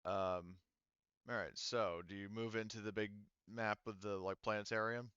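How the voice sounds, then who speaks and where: A man casually narrating a tabletop game as game master, improvising in a halting, wordy way. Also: lowish voice, steady tone, sharp tone, smooth, no room sound, muffled recording.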